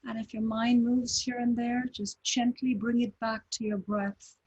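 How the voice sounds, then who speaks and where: A woman guiding a breathing meditation, speaking calmly with long pauses between short phrases. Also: fairly high pitch, slight background noise, poor audio. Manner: slow, calm, with long pauses. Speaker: meditation teacher.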